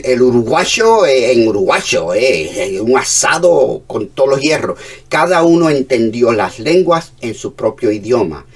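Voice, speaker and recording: Cuban-accented male pastor, fervent sermon delivery, husky low voice recorded from a distance. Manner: fervent preaching, shifts between hushed and emphatic.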